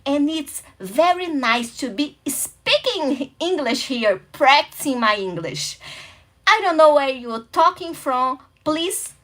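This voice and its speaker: High-voiced Brazilian woman, slow and earnest. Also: animated. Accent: Brazilian Portuguese. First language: Portuguese. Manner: earnest, warm welcoming, searching for English words. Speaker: host. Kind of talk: motivational talk to camera.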